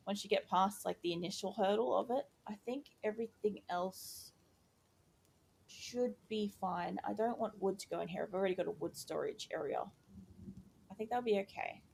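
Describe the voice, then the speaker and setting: A woman playing a video game and chatting as she works it out, excited by each small success, her voice going croaky. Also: mid-range voice, a little hiss or hum. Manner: chatty, thinking aloud, easily excited. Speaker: gaming YouTuber. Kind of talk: casual let's-play commentary.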